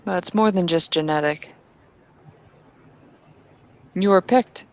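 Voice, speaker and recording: A woman playing Bettina, an earnest and devout kinfolk woman, arguing insistently with halting restarts in a tabletop roleplay. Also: lowish voice, dull audio. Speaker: player character.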